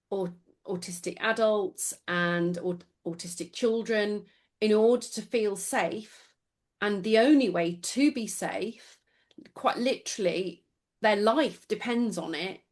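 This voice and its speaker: A woman's voice: medium pitch, slow. Woman co-host in casual conversation.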